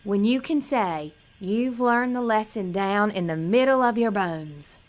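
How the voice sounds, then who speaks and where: A woman playing an innocent, earnest small-town pageant girl in an audio drama, self-doubting and gentle. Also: medium pitch, unhurried, close to an everyday voice. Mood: earnest, consoling.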